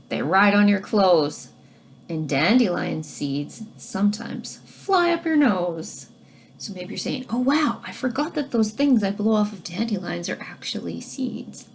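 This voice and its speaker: Expressive woman reading aloud, very lively pitch. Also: gravelly, a little hiss or hum. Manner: expressive, instructive, addressing young listeners directly. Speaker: teacher reading a children's science book aloud. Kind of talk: read-aloud storytelling with explanatory asides to children.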